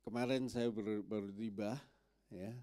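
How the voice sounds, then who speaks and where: A man preaching slowly in church, his voice stuffy and nasal from a cold. His tone stays smooth and clear, recorded close and dry with little room sound. He pads his phrases with gentle filler yeses. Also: on the low side, fairly even intonation, dry recording. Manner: slow, friendly, many filler yeses. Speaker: pastor. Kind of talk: sermon.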